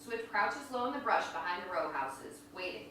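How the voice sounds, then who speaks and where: A woman's voice: medium pitch, expressive, normal speed, fairly bright tone. A woman reading scripted stage directions as narrator of a live staged reading. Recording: distant, very echoey room, faint noise.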